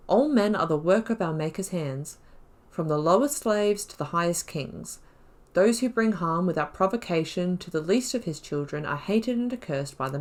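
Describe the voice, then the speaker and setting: A woman hosting a gaming video, chatting casually through a playthrough and reading lore aloud. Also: fairly low pitch.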